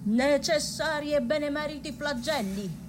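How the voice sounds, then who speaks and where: High, pompous establishment announcer. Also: female voice, unhurried. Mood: haughty, mock-ceremonious. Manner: pompous, oratorical. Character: pompous, haughty establishment announcer, mock-ceremonious. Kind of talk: satirical radio theatre. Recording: clearly noisy ambience.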